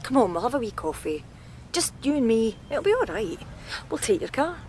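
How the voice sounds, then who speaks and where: An actress playing a steady, coaxing Scottish police detective who questions people in a radio crime drama. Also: female voice, a little high, moderate pace, a little hiss or hum. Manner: coaxing, probing.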